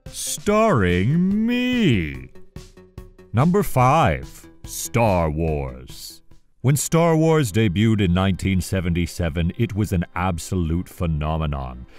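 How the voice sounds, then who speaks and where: A man presenting a scripted countdown video essay, with an animated, emphatic delivery that draws out key phrases. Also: low voice, slow pace, soft background music.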